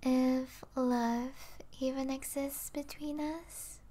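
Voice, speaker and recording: Woman acting as a vampire princess, high voice, very slow pace, possessive, seductive, soft teasing ASMR roleplay. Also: steady tone. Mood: tender, possessive. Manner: slow, teasing, soft delivery with intimate whispers.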